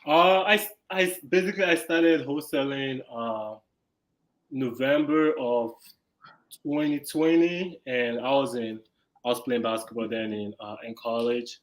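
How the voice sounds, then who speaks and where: Brief: man in his twenties from Nigeria, mid-pitched, hesitant casual guest. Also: low-quality recording. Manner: halting, many fillers, easygoing. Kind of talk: casual interview storytelling.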